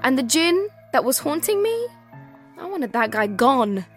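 A woman's voice: high-pitched, sing-song, measured pace, music in the background. A woman hosting a podcast, giving candid and wry scripted documentary narration.

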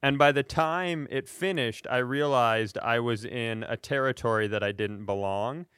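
Smooth-voiced man telling comedic stories. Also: medium pitch, a little slow, a bit bright, no room sound, studio quality. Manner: self-deprecating, profane. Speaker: comedy podcast host. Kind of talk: casual comedic storytelling.

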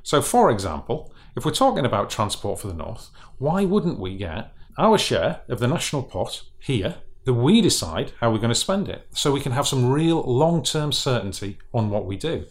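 A man's voice: medium pitch, big pitch swings, moderate pace, hardly any pauses. A man with a Northeastern English accent, a regional mayor making his case in a panel discussion.

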